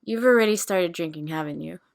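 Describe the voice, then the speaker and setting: A woman's voice: lowish voice, brisk, vocal fry. A woman playing a breezy young party-goer in an audio drama, dry and casual. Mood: breezy, teasing.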